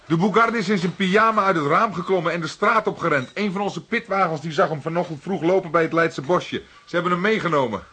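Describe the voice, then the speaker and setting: A man playing an eager, impatient younger police detective in a radio drama, speaking in a brisk, earnest way. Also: high voice, bright, forceful tone, slightly rough, exaggerated voice. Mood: urgent, exasperated.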